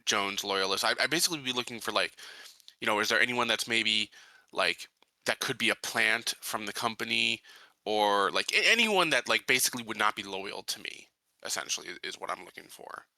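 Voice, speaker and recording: Guy playing a tabletop rpg, lowish but really sharp bright voice, hesitant, lots of likes. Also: lively intonation, a little fast, gravelly, no echo. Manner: hesitant, filler-heavy, piecing thoughts together. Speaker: player in a tabletop roleplaying game. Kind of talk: casual game chat.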